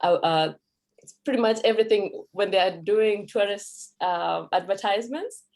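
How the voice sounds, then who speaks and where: A woman's voice: mid-range voice, normal speed, a little mellow. A Kenyan woman whose first language is Kikuyu, giving a conversational talk at a cultural event as a Swahili teacher, with fillers and self-corrections.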